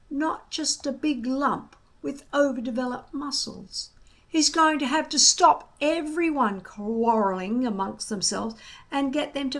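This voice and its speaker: A woman voicing a wise, patient but gruff old Viking grandfather in a children's storybook, coaxing with emphatic, drawn-out words. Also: high-pitched, a bit bright, voice pushed up, full character voice.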